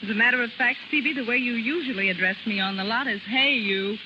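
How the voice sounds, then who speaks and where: A woman tells rehearsed stories as a radio guest in a dry, wry way. The 1930s broadcast sounds dull, with clear background noise. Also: on the high side, a little fast. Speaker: film script girl, radio guest.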